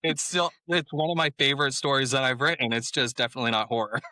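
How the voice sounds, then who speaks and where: A man chatting as a guest in an interview, relaxed and prone to chuckling. His tone is very bright and forward. The recording is dry and close. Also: mid-range voice, fast talker, no room sound. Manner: laid-back, chuckles often. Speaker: author guest. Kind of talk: casual interview chat.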